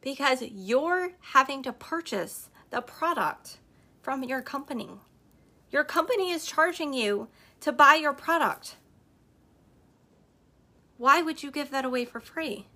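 A woman hosting a podcast, giving emphatic, repetitive business advice straight to her listeners, her voice slightly hoarse. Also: sits high, animated, unhurried, sharp tone. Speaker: podcast host and network marketer. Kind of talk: motivational business talk on a live stream.

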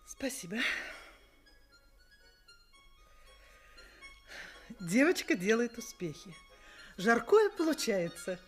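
Flustered, genteel mother, very lively intonation. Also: a little high, normal speed, slightly rough. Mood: pleased, polite. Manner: hesitant, exclaiming, polite. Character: kindly mature mother of the bride, genteel, flustered. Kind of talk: radio play acting. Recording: radio play recording with music.

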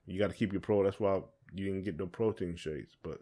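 A man's voice: sits low, fairly quick, warm tone. A man hosting a casual podcast chat, talking in an informal, colloquial way. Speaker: podcast host.

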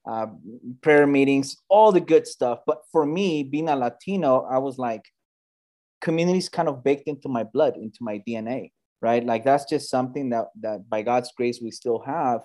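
A man's voice: medium pitch, darkish tone. Earnest man answering interview questions. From United States, Bay Area. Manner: earnest, many fillers and restarts. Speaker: church planter, guest.

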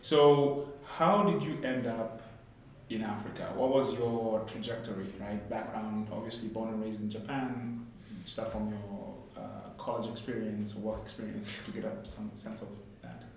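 A man's voice: lowish voice, fairly even intonation, normal speed, darkish tone. A man hosting a casual interview, chatting and asking questions in a conversational way. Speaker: interviewer, host. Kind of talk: casual chat, asking questions with frequent backchannels. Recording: very echoey room, distant mic, faint noise.

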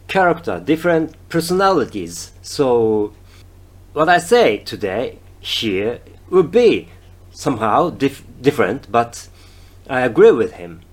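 A man in his fifties speaking English with a Japanese accent in a solo podcast, halting, with many fillers and restarts, stressing his key words. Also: mid-range voice. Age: 50s. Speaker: podcast host.

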